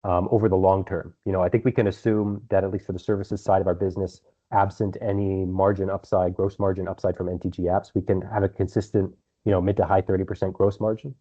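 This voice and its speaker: A man speaking as a company executive, answering investor questions on an earnings call in measured phrases with some repeated words. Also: deep voice, little pitch movement, warm tone. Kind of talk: earnings call answers, semi-prepared business talk. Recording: dull, band-limited conference call audio.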